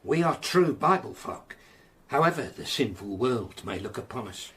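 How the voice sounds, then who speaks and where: An actor playing a stern, grey-haired Bible preacher in a period radio drama, solemn, righteous and fervent. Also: male voice, mid-range voice, lively intonation, moderate pace, a bit bright, breathy edge. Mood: righteous, reproving.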